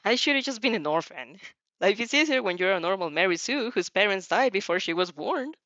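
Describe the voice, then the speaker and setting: A woman's voice: medium pitch, animated, brisk, fairly bright tone, no echo, natural voice. A woman voicing a cheeky, flippant teenage girl from a fan fiction story, playful and dramatic. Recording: dull lo-fi microphone.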